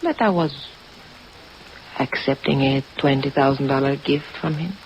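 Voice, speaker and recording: Evasive alluring suspect, vintage radio drama, slight fry, hesitant, wounded, muffled hissy recording. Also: female voice, extremely low pitch, a little slow, darkish tone. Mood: guarded, wounded. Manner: hesitant, guarded. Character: evasive, alluring woman under suspicion, softly wounded. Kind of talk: 1950s radio drama acting. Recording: dull old radio recording, hiss. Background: rain sounds.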